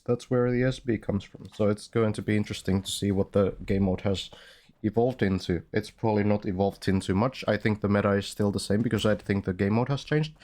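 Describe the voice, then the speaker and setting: A man's voice: lowish voice, dark tone. A man from Finland, casting an online game match in a loose, rambling way, full of fillers and restarts. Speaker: esports caster. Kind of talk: casual game commentary.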